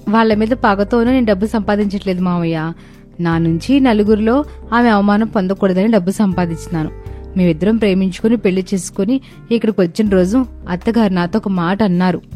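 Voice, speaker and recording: A woman's voice: mid-range voice, brisk, mellow, faint music behind, lightly acted. A woman playing a sweet, polite young daughter-in-law who explains things earnestly in a story cartoon. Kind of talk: dramatized moral story cartoon.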